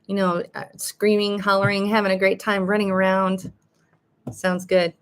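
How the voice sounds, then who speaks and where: An older woman hosting a live coaching podcast, chatting casually with ums as she reads articles aloud, her voice a little raspy. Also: medium pitch, monotone, normal speed. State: slightly raspy voice. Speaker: life coach and podcast host. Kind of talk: live-streamed podcast, reading articles and greeting chat.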